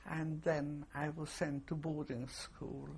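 An elderly woman, giving testimony slowly and haltingly, with many pauses. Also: sits low, little pitch movement, a little mellow, a little hiss or hum. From Poland. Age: 80s. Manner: slow, halting, pausing with fillers. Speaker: interviewee. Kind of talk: oral history testimony.

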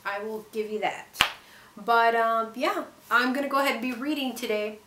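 A young woman reads her own horror story aloud in a theatrical, deliberate way with drawn-out pauses. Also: a little high, steady tone. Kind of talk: dramatic storybook reading of a horror tale. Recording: echoey room with clear background noise.

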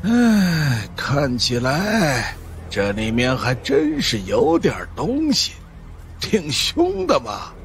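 A man's voice: a little high, wildly swooping pitch, slow, a little hiss or hum, voice pushed up, heavily acted. A man voicing a smug, boastful old monk and exorcist in an audiobook, with a world-weary manner. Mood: ominous. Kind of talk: audiobook character acting.

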